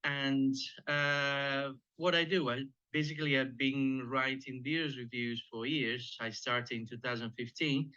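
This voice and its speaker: A man's voice: medium pitch, steady tone, unhurried, hardly any pauses, sharp tone, muffled recording. A man from Sardinia with an Italian accent, a guest expert explaining things in slightly non-native English. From Sardinia, Italy. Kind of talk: interview answers.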